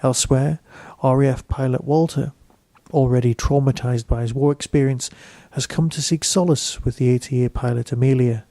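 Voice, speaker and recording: A man's voice: medium pitch, fairly even intonation, moderate pace, warm tone, no room sound. A man hosting a podcast, reading out a scripted audio drama review.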